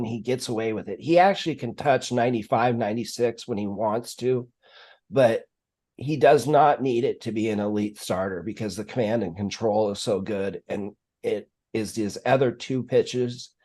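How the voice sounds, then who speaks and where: A man hosting a casual sports talk stream, explaining things in a measured, analytical way. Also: mid-range voice, darkish tone. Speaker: sports live stream host.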